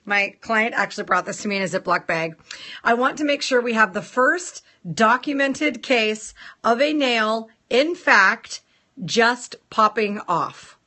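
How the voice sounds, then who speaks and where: A woman hosting a casual live stream, chatting playfully with viewers and greeting them in a sing-song lilt, her voice a little rough from a sore throat. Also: fairly high pitch, animated, unhurried, bright, forceful tone. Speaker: nail salon owner hosting a live stream.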